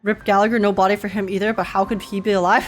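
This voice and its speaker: A woman's voice: medium pitch, level tone, rapid-fire. Chatty young woman reacting to a game. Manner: chatty, thinking aloud. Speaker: game streamer reacting to a story. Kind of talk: casual reaction commentary.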